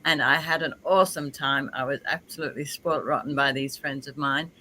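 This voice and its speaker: A woman's voice: a bass voice, fairly even intonation, fairly quick, sharp tone, a little hiss or hum. A woman with an Australian accent, co-hosting a casual talk show and chatting in a cheerful, effusive way. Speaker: co-host. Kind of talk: casual chat.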